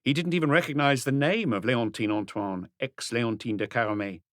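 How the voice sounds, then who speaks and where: A man voicing a diligent police inspector in an audiobook, briskly reporting his enquiries in detail. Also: medium pitch, no room sound, studio quality, lightly acted. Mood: eager reporting. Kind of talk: audiobook character dialogue.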